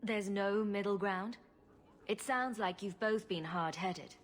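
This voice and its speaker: A woman's voice: mid-range voice, slow, long reverb tail, natural voice. An actress playing a confident, level-headed and wry young female adventurer in a video game.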